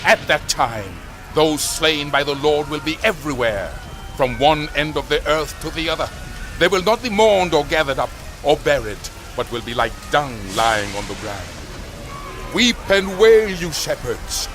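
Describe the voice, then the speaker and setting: A man playing a solemn prophet who relays divine judgment, declaiming in a grave, measured way in a dramatized scripture narration. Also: a little high, lively intonation. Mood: impassioned doom. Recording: faint music behind the voice.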